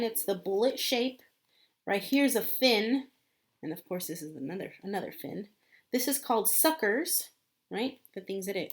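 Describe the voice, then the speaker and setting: A woman teacher reading a book aloud as a lesson, repeating key points and adding simple explanations for children. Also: mid-range voice.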